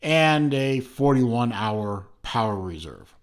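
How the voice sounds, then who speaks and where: A man's voice: medium pitch, expressive, slow. A man hosting his own channel, reviewing a watch in a rambling, casual way with lots of fillers and restarts, filming at home from behind the camera.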